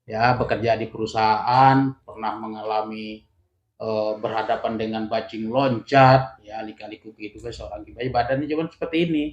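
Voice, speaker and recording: A man's voice: lowish voice, steady tone, moderate pace. A man hosting a casual interview, recapping and commenting on what his guest says. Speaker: interview host. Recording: dull sound, echoey room.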